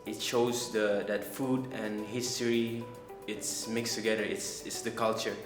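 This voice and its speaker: A man's voice: fairly low pitch, flat delivery, unhurried. A man from Brunei, a culinary student who rambles and repeats words in an interview testimonial. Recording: echoey room with background music.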